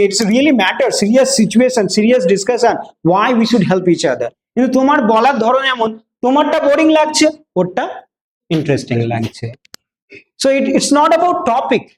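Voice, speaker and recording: A man's voice: high voice, a little mellow. A man with a Bengali Indian English accent lecturing a class as a speaking teacher, emphatic, with rhetorical questions and repetition, switching between two languages.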